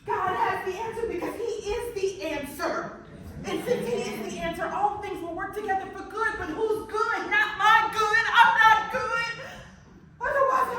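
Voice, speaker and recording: A woman's voice: fairly high pitch, darkish tone, lightly acted. An actress playing a devout woman facing breast cancer, talking to herself in a questioning, praying way. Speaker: woman awaiting a cancer consult. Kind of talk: inner-monologue acting. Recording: very echoey, distant, noisy.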